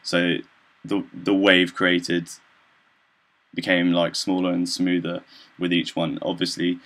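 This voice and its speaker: A young man giving an informal student demonstration of software, talking in a hesitant, halting, loosely explanatory way. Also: sits low, level tone. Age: young adult. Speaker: student presenter.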